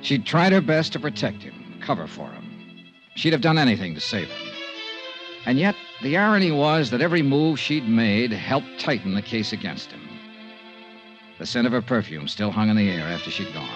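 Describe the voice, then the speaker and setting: A man's voice: mid-range voice, big pitch swings, moderate pace, lo-fi sound, close to an everyday voice. An actor playing a tough, world-weary insurance investigator who narrates his case in terse, clipped, brooding lines in a 1950s radio drama. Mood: brooding, uneasy. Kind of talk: 1950s radio drama acting, hardboiled first-person narration. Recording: 1950s radio recording with organ music.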